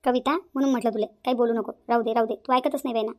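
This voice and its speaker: A woman's voice: fairly high pitch, fairly even intonation, very fast, a little mellow, close to an everyday voice. A woman playing a meek, long-suffering elder daughter-in-law with a Varhadi accent in a cartoon family drama. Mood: pleading. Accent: rural Maharashtra, Varhadi. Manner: timid, plaintive, conflict-avoiding.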